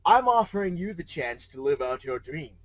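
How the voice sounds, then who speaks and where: Eccentric old man, acted out by a man, theatrical, smug, philosophical rambler, animated pitch, dry close sound. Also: normal speed, no room sound, low-quality recording. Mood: giddy, coaxing. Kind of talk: fan fiction read aloud in character.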